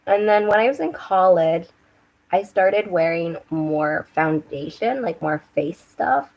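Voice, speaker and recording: Slightly hoarse young woman chatting. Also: lowish voice, animated, slow pace, dull audio. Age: young adult. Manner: rambling, chatty, with restarts and self-corrections. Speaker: book and makeup channel host. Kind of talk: casual live-stream chat while doing makeup. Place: home room with bookshelves. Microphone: webcam.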